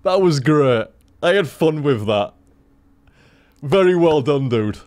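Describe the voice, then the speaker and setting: A young man with a softened Yorkshire accent, rambling casually to his stream chat, swearing freely and laughing often. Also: on the high side, expressive, takes it slowly, no echo. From Leeds, Yorkshire, England.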